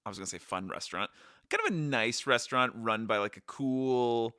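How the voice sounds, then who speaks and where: A man's voice: medium pitch, a little fast, clean tone, dry recording, clean studio sound. A man hosting a travel podcast in casual, joking chat with his wife. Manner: playful, jokey, lively. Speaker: podcast host.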